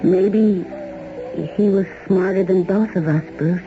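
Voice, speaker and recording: An actress as a guarded, wry young woman in a vintage radio play, longing to be free. Her middle-pitched voice comes through very narrow and phone-like, with music playing clearly behind it. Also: steady tone, slow pace, lightly acted. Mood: tentative, hopeful. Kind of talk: 1970s radio drama acting.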